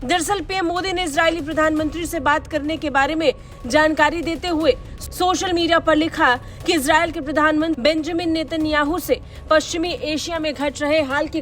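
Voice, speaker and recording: High-pitched woman reading news over music. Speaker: news reporter reading a bureau report. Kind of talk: scripted news voice-over narration. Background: music under the voice.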